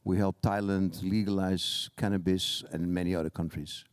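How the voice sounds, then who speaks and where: A man's voice: deep voice, steady tone, normal speed, clear, resonant tone, no echo. A man, a business founder introducing himself on a panel in a confident, matter-of-fact way.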